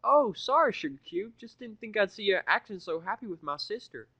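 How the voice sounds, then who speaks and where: Man putting on a high-pitched folksy farm mare. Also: big pitch swings, brisk, sharp tone, husky, no room sound, highs cut off, exaggerated voice. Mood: sheepish. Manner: folksy, casual. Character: friendly, sheepish country farm mare.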